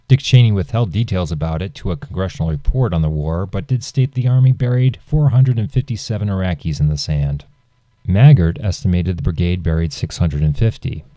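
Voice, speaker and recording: A man reading scripted nonfiction as an audiobook narrator, in a measured and serious manner. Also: deep voice, mellow, dry recording.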